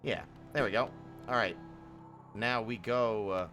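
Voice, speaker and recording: A man giving casual live commentary as he streams a video game, expressive and exclamatory. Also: on the low side, a little slow, faint music behind.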